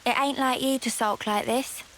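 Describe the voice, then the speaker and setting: A woman's voice: high-pitched, steady tone, normal speed, a bit bright, slight background noise. A woman playing a cheeky but caring young female soldier in a game cutscene, blunt and informal. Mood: teasing. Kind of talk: video game cutscene acting.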